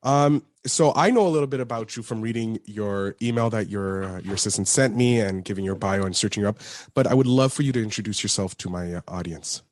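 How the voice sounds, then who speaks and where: A man's voice: on the low side, fairly quick, dry recording. A man hosting a podcast in an upbeat, loose way, stumbling a little over his words. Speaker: podcast host. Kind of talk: casual interview hosting.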